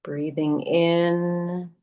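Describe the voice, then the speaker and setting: A woman yoga teacher leading a guided meditation in a soothing, gently instructive way, leaving long pauses between phrases. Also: lowish voice, level tone.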